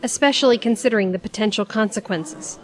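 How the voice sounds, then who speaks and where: An actress playing a sceptical, outspoken young travelling companion in an audio drama, with a blunt manner. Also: female voice, mid-range voice, fast talker, lightly acted. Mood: dry, sceptical.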